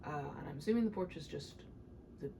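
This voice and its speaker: Animated woman reading a story aloud, background noise. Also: low voice, fast. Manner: animated, chatty when stepping out of the text. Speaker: audiobook reader and host of the channel. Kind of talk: expressive storybook read-aloud with casual asides.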